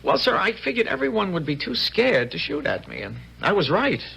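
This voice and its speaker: A scared young infantryman in a radio drama, voice bright and gravelly, pitched a little high. He stammers and pleads in plain country speech, his tone swinging widely up and down. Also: huge pitch swings, a little fast, slight background noise, full character voice, rough recording. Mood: earnest, explaining. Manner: stammering, rustic, hesitant, earnest. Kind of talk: 1970s radio drama acting.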